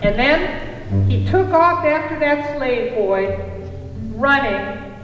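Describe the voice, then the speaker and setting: A woman playing a kindly motherly cafe keeper in a live puppet show, telling tales in a deliberate, expressive way. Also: high-pitched, highs cut off. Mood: earnest recounting. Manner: deliberate, expressive storytelling to children. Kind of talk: live storytelling puppet theatre. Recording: echoey hall from a distance, music behind.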